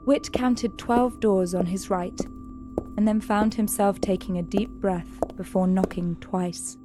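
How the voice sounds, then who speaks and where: A woman's voice: mid-range voice, fairly even intonation, unhurried. A woman reading scripted narration for an audio drama. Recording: music under the voice. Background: music.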